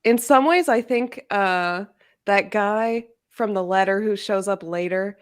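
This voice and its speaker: A woman with a medium-pitched voice talks casually about books. Her manner is informal and animated, given to exclamations, as in a relaxed discussion between readers. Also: measured pace, clear, resonant tone. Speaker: guest discussing a novel in a readalong livestream.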